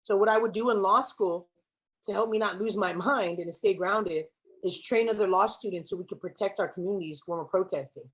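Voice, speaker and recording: A young woman giving a keynote speech to students, switching between English and Spanish and asking the audience questions. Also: medium pitch. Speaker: keynote speaker. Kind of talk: speech to students with spoken-word poem.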